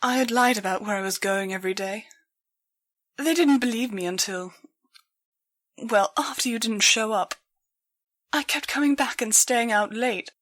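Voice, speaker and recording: A woman's voice: a little high, moderate pace, long pauses, fairly bright tone. A woman voicing a hurt female pony, insistent and strained as she confronts an old friend in a story. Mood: remembering.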